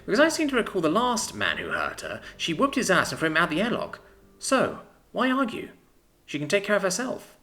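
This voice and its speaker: A man reading a story, voicing a bold, sharp-tongued young woman who teases and scolds her boyfriend. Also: high voice, fairly quick, pitched above the performer's own voice, heavily acted. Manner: lively, sharp, teasing.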